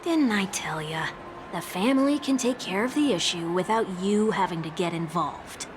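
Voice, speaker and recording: A woman's voice: mid-range voice, expressive, normal speed, noisy background, lightly acted. A woman voicing a dry, teasing young woman. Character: wry, sardonic young woman adventurer. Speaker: game character. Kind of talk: video game voice acting. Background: game background music.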